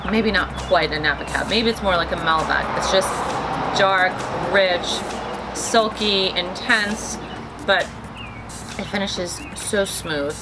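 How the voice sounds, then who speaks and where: A woman's voice: fairly low pitch, slow, low-quality recording. A woman hosting a weekly wine tasting, describing wines in a chatty, bubbly way with lots of "like" fillers, with music under her voice. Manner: chatty, bubbly, heavy use of "like". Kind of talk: casual wine tasting presentation. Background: background music.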